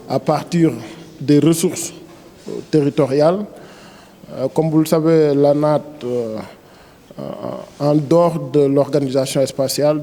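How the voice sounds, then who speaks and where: Senegalese official explaining stuff in an interview, guy with soft mellow tone, a bit high, hesitant. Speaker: director general of a planning agency.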